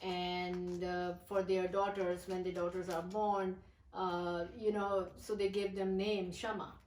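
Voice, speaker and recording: A woman's voice: fairly low pitch, little pitch movement, slow pace, darkish tone. Woman, distant and echoey. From Pakistan. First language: Urdu. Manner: hesitant, many fillers and restarts. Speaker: poet, interview guest. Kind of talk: casual interview.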